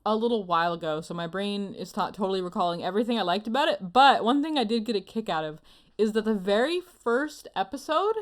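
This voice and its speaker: A woman co-hosting an anime review show, chatting casually in an expressive, playfully exasperated way. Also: medium pitch, fast talker.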